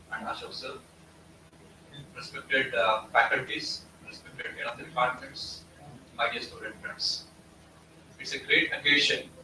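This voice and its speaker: A male vice principal giving an energetic welcome address to a conference audience. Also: mid-range voice, slight background noise. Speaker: vice principal and head of department. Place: auditorium, heard from a distance. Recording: echoey hall audio from far off.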